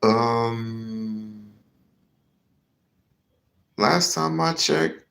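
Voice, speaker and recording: A man's voice: lowish voice, drawn-out words, gravelly, a bit bright. A man hosting a heated commentary rant, slangy and swearing, repeating himself for emphasis. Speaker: commentary show host.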